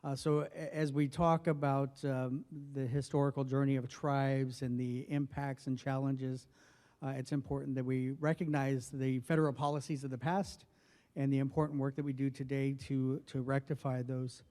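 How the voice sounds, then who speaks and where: A soft-spoken man in his forties from northwestern New Mexico gives a personal speech at a formal event. He talks at a middling pitch in a flat, steady tone, pausing often with fillers. His voice carries a long reverb from a large hall. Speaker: federal health official giving a speech. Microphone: podium microphone.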